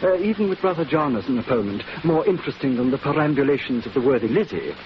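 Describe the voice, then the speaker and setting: A man acting an idle, pompous English gentleman in an old radio mystery. He rattles along in a fairly high, swooping voice, on a muffled recording. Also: expressive, quick pace, very noisy, lightly acted. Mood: dismissive. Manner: pompous, wordy. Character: languid, pompous, dismissive gentleman of idle family.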